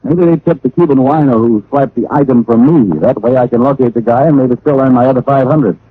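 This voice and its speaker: An actor playing a wry, deadpan hard-boiled private detective in a 1940s-style radio drama. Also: male voice, mid-range voice, brisk, slightly rough, no echo, lightly acted. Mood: guarded, wry. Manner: deadpan, wisecracking. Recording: noisy, tinny old radio recording.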